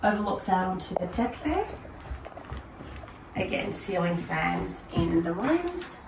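A woman's voice: lowish voice, big pitch swings, takes it slowly, over music. A woman, walking through a house and pointing out its features in an upbeat way. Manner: upbeat, pointing things out as she walks. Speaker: prize home tour presenter. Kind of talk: walk-through house tour. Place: large empty modern house, indoors and on a deck. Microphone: handheld camera following her at a distance. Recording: echoey rooms, voice a bit far from the mic.

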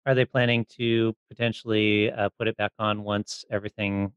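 A man's voice: on the low side, level tone, normal speed, a bit bright, clear, resonant tone, no room sound. A man hosting a podcast, asking questions in a casual interview style. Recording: dull, dry lo-fi audio.

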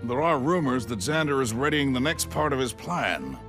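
A man's voice: medium pitch, animated, normal speed, lightly acted. An actor playing a kindly older male doctor and scientist in a video game cutscene. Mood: grave. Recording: music under the voice.

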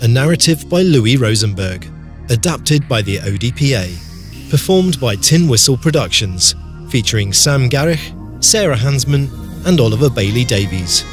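A man's voice: mid-range voice, lively intonation, moderate pace. Male announcer over music. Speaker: announcer reading credits. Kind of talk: scripted announcement.

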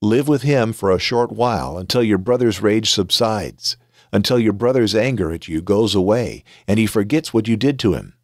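A worried mother urges her son to run away, her words earnest and protective, as a man reading scripture gives her lines. The voice is low and the sound is dry and close. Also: expressive, moderate pace, dry recording. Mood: urgent.

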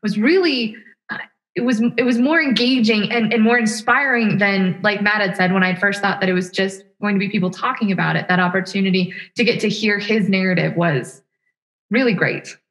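A woman's voice: medium pitch, fairly quick, fairly bright tone. A woman in her thirties co-hosting a casual interview over a video call from home. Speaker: podcast co-host. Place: home room, indoors.

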